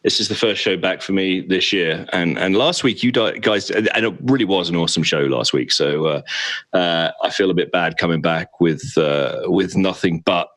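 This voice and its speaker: A young man with a fairly low voice talks casually on a podcast. He trades puns, pokes fun at himself and stumbles over his words as he goes. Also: a bit bright, no echo. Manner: punning, self-deprecating, halting. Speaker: podcast co-host.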